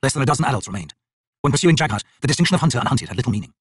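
A man reading a fantasy audiobook in a theatrical, solemn, expressive manner. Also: mid-range voice, very fast, breathy edge, no echo, clean studio sound. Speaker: audiobook narrator, actor. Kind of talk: dramatic scripted fantasy audiobook narration.

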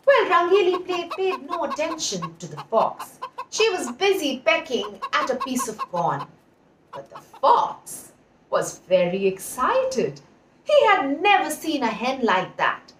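A woman's voice: sits high, wildly swooping pitch, a little slow, background noise. A woman in her thirties with an Indian accent, telling a children's story expressively with dramatic emphasis. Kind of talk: animated storytelling for young children. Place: indoor room with patterned wall. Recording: echoey room, distant mic.

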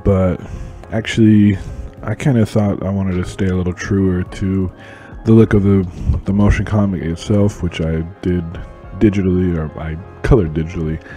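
A man's voice: sits low, steady tone, moderate pace, hardly any pauses, dark tone. A man giving casual, conversational voice-over commentary as an illustrator hosting a drawing channel. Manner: informal, conversational. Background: music.

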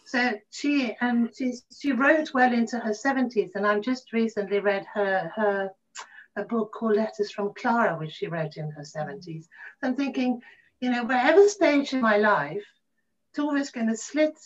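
Middle-aged woman, somewhat high voice, casual reminiscing, halting animated delivery, slightly dull video-call audio. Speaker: interview guest, actor. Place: room at home, on a video call.

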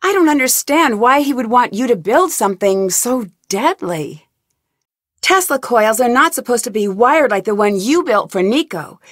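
A young woman detective in a game, polite and curious, presses people with earnest questions. Her voice sits a little high. The studio recording is dry and close, with no room sound. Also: animated, moderate pace, no echo. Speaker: voice actor. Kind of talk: game voice lines. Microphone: studio mic, dry.

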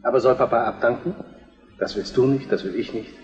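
A man's voice: mid-range voice, fairly quick, darkish tone, faint music behind, close to an everyday voice. A man acting an affectionate, reasonable Prussian crown prince in a historical radio drama, explaining in a conciliatory way. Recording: dull reverberant radio play recording.

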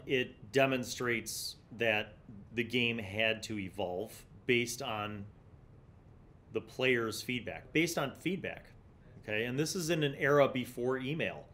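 A middle-aged man hosting a review, explaining and reading aloud in a deliberate way, recorded at a desk microphone in a small studio. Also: a bit bright. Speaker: host of a tabletop gaming review series. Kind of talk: review commentary mixed with reading aloud from a magazine. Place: small indoor studio with block wall. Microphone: large condenser mic on desk. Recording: low rumbling background noise.

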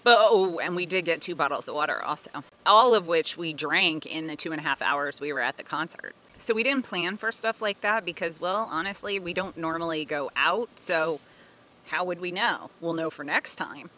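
A woman in her forties chatting casually to a phone held at arm's length in a sunny park, animated and laughing at herself. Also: lowish voice, normal speed. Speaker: family budget vlogger. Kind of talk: casual vlog talk to camera.

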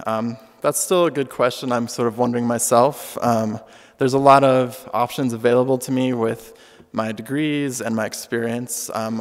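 A young man giving a keynote talk with slides at a podium in a large auditorium, relaxed and earnest with frequent ums. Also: mid-range voice. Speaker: keynote speaker. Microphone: podium microphone. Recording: long hall reverb.

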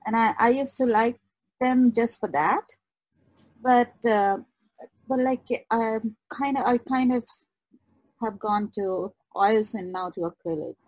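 Woman artist chatting on a podcast, fairly high voice, no room echo. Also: takes it slowly, a little mellow. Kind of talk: casual chat.

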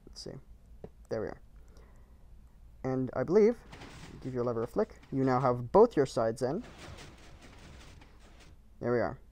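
A man's voice: mid-range voice, very animated, unhurried, pauses often, dark tone. A man narrating a game build tutorial in short, clipped, matter-of-fact instructions, recorded as a voice-over.

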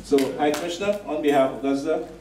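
A middle-aged man giving a measured, formal speech at a stand microphone in a community hall, with some restarts. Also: on the high side, heavy background noise. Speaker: association representative presenting a donation. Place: decorated community hall, indoors. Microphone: stand mic. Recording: echoey hall, picked up from a distance.

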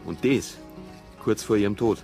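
An actor playing a dry, persistent police detective with a Bavarian accent and a colloquial, matter-of-fact manner. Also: male voice, on the low side, normal speed, dark tone, soft background music, natural voice. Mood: reasoning.

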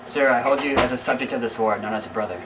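Fast-talking, commanding nobleman acted by a man, distant mic. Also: medium pitch, natural voice. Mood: stern. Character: stern, commanding Duke of Albany, indignant male noble. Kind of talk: amateur Shakespearean stage acting. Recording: distant mic, noisy amateur recording.

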